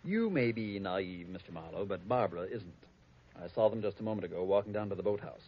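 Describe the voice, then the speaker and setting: An actor playing a stuffy, coolly superior male schemer in an old-time radio drama, speaking in a prim, measured way. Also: sits low, animated. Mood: prim, disdainful. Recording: dull vintage radio recording.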